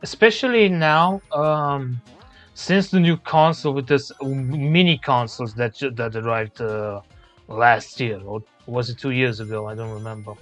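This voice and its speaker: A man's voice: mid-range voice, measured pace, a bit bright, soft background music. A man in his thirties streaming a game, calling out gameplay with short remarks. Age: 30s. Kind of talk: casual gaming chat.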